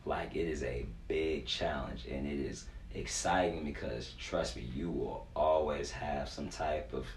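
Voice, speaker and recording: Very deep-voiced young man, echoey room. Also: unhurried. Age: 20s. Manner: informal, slangy, earnest, frequent "you know". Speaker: youth sports performance coach, interview guest. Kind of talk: casual conversational interview. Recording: echoey room, faint background noise.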